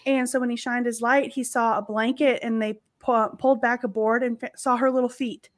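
A woman's voice: on the high side, little pitch movement, a little fast. A woman from the American South co-hosting a podcast, chatting casually and laughing often as she tells stories. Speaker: podcast co-host. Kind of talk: casual chat.

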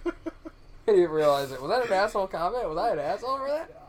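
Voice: mid-range voice, very animated, quick pace. A twenty-four-year-old co-hosts a loose podcast, teasing a friend and laughing and swearing as they go. The voice sits in a middling register. A faint hiss runs under the recording. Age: 20s. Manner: teasing, laughs often, profane. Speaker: podcast co-host. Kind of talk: casual chat.

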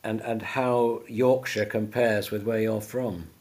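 A man acting as interviewer in an informal church conversation, explaining things in a slightly halting way. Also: fairly low pitch, steady tone, a little mellow.

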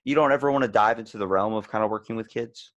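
A man's voice: fairly low pitch, fast talker, fairly bright tone, no room sound. A man in a casual podcast chat, rambling as host and animatedly telling stories, acting out the lines he quotes.

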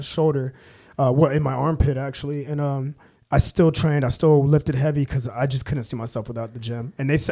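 A young man from Kansas, a podcast guest telling his life story in a casual, halting way, full of fillers and restarts. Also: a little high, gravelly, darkish tone. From Kansas, USA. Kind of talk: casual conversational interview.

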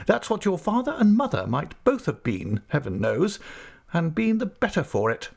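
A stern, decisive elderly aunt, as a male narrator puts her on in a storybook reading. She speaks high and emphatically, with a firm, commanding air beneath her fondness. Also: wildly swooping pitch, normal speed, creaky, full character voice. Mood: brisk, emphatic. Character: brisk, stern but fond elderly aunt, a woman. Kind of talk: audiobook character voice.

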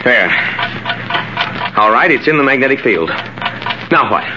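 A man's voice: medium pitch, lively intonation, measured pace, lightly acted. A man playing a young American lab assistant in an old radio drama, deferential, eager and easily amazed. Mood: puzzled, obedient. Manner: deferential, questioning, wide-eyed. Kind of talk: 1950s radio drama acting. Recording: muffled, very noisy old radio recording.

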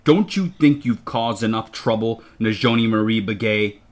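A man's voice: lowish voice, normal speed, lightly acted. A man voicing a stern, enraged, embarrassed father, emphatic and seething, in an audiobook. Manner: seething, emphatic, hissing through gritted teeth. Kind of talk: audiobook character dialogue.

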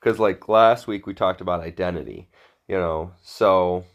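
Man, low pitch, congested, blunt and profane, casual podcast co-host. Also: normal speed. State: congested with sore throat. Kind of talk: casual unscripted chat.

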